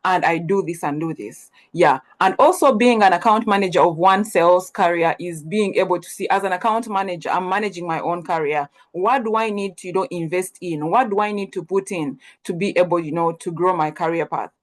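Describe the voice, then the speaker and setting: A woman's voice: lowish voice, fairly quick, few pauses. A young woman from Uganda, giving an earnest webinar presentation full of ums and self-corrections.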